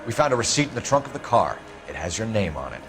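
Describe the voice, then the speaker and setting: A no-nonsense detective in a crime game, firm n accusing, bit of music under him. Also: male voice, fairly low pitch, very animated, quick pace. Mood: stern, pressing. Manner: stern, accusatory. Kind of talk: dramatic video game acting.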